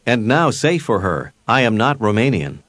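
A man narrating a recorded language lesson, prompting the learner with clear scripted instructions. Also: mid-range voice, big pitch swings, moderate pace, a bit bright, no room sound.

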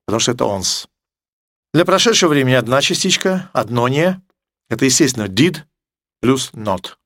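A Russian-speaking man teaching English grammar, explaining with emphatic repetition and slowing down for English examples in a Russian accent. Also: mid-range voice, sing-song, fairly bright tone, dry recording, clean studio sound. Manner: emphatic, repeats key words, slows down for English examples.

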